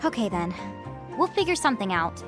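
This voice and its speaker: A woman's voice: medium pitch, big pitch swings, a little fast, music in the background, natural voice. A woman voicing a practical, level-headed and determined teenage heroine in a video game. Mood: resolute. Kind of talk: video game cutscene acting.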